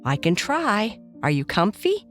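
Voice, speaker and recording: Woman as father bear, patient, tender, gently playful, reassuring, children's storybook, faint music. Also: medium pitch, wildly swooping pitch, unhurried, voice pushed up.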